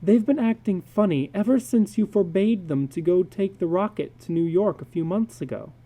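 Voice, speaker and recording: Casting: man playing an anxious mother in a dramatic story, high and mellow, softly worried. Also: normal speed. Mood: uneasy.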